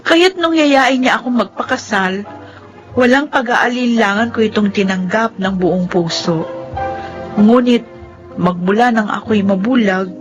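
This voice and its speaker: An actress playing a gentle, devoted blind young wife in a radio drama, speaking tenderly and pleadingly. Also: female voice, on the high side, unhurried, faint music behind, close to an everyday voice. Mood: wistful. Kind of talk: radio drama acting with first-person narration.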